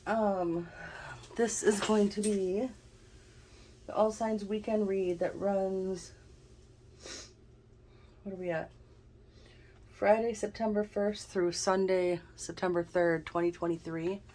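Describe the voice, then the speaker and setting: A woman's voice: medium pitch, fairly even intonation, takes it slowly, pauses often, warm tone, a little hiss or hum. A woman hosting a casual tarot card reading, chatty and thinking aloud, sounding a little snuffly and stuffed-up. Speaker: tarot reader, channel host.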